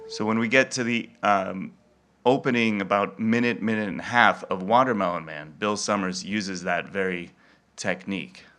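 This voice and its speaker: A man giving an informal presentation on music, halting a little as he thinks his way through. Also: lowish voice, pushed and bright. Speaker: event presenter.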